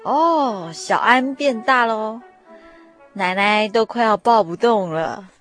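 A woman's voice: on the high side, animated, slow pace, a bit bright, faint music behind. An actress playing an affectionate, indulgent grandmother in a radio drama. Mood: fond, welcoming. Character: kindly, doting, devout grandmother at New Year.

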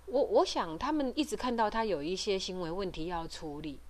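A middle-aged woman with a Taiwanese Mandarin accent giving informal advice as a host, explaining things hesitantly with frequent pauses and trailing particles. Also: mid-range voice. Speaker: podcast host and parent advocate. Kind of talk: informal advisory talk.

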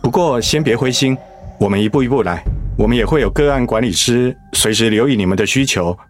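A man playing a calm, tactful doctor in a radio drama, measured, professional and reassuring. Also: mid-range voice, faint music behind, lightly acted.

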